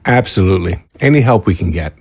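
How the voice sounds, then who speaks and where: An actor playing a nervous, obliging scientist in an audio drama, hesitant and deferential. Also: male voice, low-pitched, expressive, fast, a little mellow, breathy edge, no room sound, clean studio sound. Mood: eager to please. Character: nervous, deferential male scientist serving a powerful superior. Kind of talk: scripted audio drama acting.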